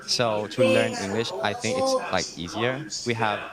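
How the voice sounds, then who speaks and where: A young man, speaking English in a somewhat low voice. He answers slowly and hesitantly, filling pauses with "um" and "like". A clear hum of crowd noise sits behind him. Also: wildly swooping pitch. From Thailand. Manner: hesitant, full of "um" and "like" fillers. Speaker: interview guest. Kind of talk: casual interview answers.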